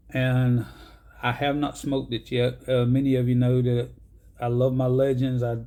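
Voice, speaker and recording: Man, 50s, pipe-hobby vlogger, unhurried and rambling, dark flat voice. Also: mid-range voice, creaky. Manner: unhurried, many ums and uhs, rambling. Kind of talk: casual rambling show-and-tell.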